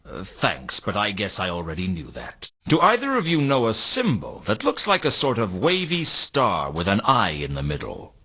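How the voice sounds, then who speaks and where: Husky, low-voiced weary male detective. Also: huge pitch swings, normal speed, heavily acted. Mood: dry, inquisitive. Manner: dry, sardonic. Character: world-weary male private detective, dry and questioning. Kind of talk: video game noir dialogue. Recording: dull, lo-fi game audio.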